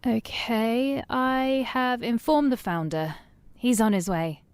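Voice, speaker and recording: A woman performs a polite receptionist for a secretive company, in audio drama style. Her voice is fairly high and crisp, with an officious politeness. The recording is dry. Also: slow, dry recording. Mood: businesslike. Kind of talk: full-cast audio drama acting.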